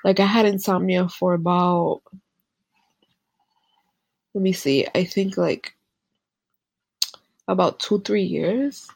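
A woman's voice: fairly low pitch, takes it slowly, vocal fry, long pauses, husky, no echo. A young woman with a Caribbean accent co-hosting a casual podcast, congested from a head cold and talking in a candid, laid-back way. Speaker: podcast co-host. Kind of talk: casual chat.

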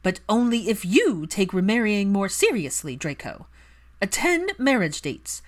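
A woman's voice: mid-range voice, sing-song, a little slow, voice pushed up. A woman voicing a proud, ailing aristocratic mother in an audiobook-style story, imperious and emphatic, with strained emotion. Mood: demanding.

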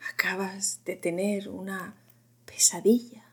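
A woman narrating a guided sleep meditation in a gentle, unhurried, whispery voice, in short phrases with long pauses. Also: medium pitch, level tone. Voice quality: breathy, whispery, often dropping into whisper.

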